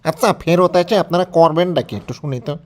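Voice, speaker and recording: A man's voice: a little high, quick pace, natural voice. A man with a Bangladeshi accent playing a sarcastic, exasperated interviewer who scolds and mocks in a colloquial satirical phone-call sketch. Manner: scolding, mocking, incredulous.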